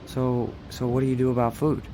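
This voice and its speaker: A man's voice: mid-range voice, monotone, a little fast, background noise, natural voice. An actor in a radio drama playing a kindly younger man, hesitant, earnest and patiently reassuring. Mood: puzzled probing. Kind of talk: radio drama acting, naturalistic. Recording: radio drama with background music.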